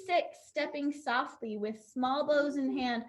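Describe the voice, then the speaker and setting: A woman's voice: sits high, a little slow, a little hiss or hum. A young woman teacher leading a pantomime activity online, upbeat and encouraging as she explains each step.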